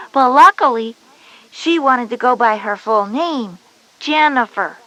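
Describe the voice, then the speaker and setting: Big sister schoolgirl, high n really animated, explains stuff, bit bossy, kids learning tape. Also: no echo, heavily acted, poor audio. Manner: explanatory, emphatic. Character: knowing, patient but slightly bossy older sister Jenny, schoolgirl. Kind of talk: scripted educational audio story dialogue.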